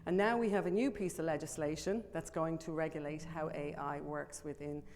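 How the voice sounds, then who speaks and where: A woman's voice: low-pitched, steady tone, brisk, a little mellow. A middle-aged woman with a Dublin Irish accent gives a chatty, self-deprecating conference talk from a podium microphone, with many ums. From Ireland. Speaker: conference presenter. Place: large conference room. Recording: long hall reverb, faint room noise.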